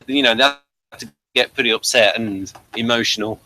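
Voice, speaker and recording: A man hosting a review show, chatting casually in a hesitant, halting way and often repeating words. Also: medium pitch, fairly even intonation, sharp tone, rough recording. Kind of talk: casual audio drama review chat.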